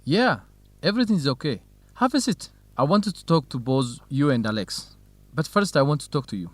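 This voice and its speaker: A man's voice: fairly high pitch, animated, normal speed, no echo. An actor playing an earnest young male university student in love, speaking carefully in a radio drama for English learners. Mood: welcoming, nervous.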